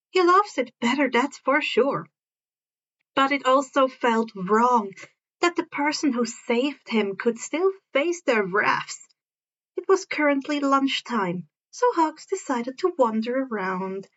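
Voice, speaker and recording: A woman reading fan fiction aloud as an expressive story narrator. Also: fairly high pitch, highs cut off.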